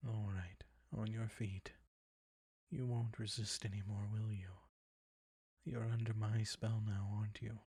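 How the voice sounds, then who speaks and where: Man acting a low-pitched seductive vampire count, very breathy and husky, predatory, possessive, silky teasing, intimate audio roleplay. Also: level tone, dark tone, no room sound, clean studio sound. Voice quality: husky, breathy, often near a whisper. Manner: slow, deliberate, silky teasing, commanding.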